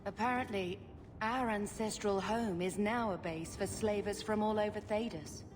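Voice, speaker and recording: Actress as young, caring sister in a fantasy game. Earnest, concerned, medium pitch, musical backing. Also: female voice, normal speed, in a large hall, over music, lightly acted.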